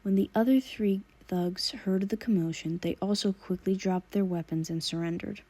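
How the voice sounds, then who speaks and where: Mellow-voiced woman narrating a story. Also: medium pitch, moderate pace. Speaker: audiobook narrator. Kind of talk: scripted narration of fan fiction.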